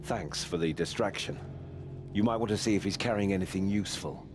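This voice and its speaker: A man voicing a dry, pragmatic knight on a stealthy mission in a video game, speaking in a measured way. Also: low-pitched, noisy background, close to an everyday voice. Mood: conspiratorial.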